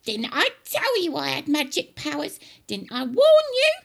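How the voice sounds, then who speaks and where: A woman voicing a bossy, scornful old grandma in a children's storybook, shouting and sneering. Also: high-pitched, huge pitch swings, unhurried, vocal fry, slight background noise, pitched above the performer's own voice, heavily acted. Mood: gloating. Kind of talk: children's storybook character reading.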